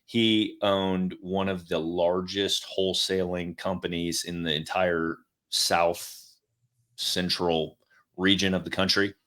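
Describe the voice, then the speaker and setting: A middle-aged man hosting a podcast, talking in a relaxed, rambling way and swearing casually. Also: deep voice, slow. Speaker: podcast host.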